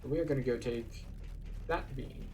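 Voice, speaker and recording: A man's voice: medium pitch, moderate pace, warm tone, far from the mic, a little hiss or hum. A man giving a casual, explanatory commentary as he guides viewers through a video game. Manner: explanatory, conversational.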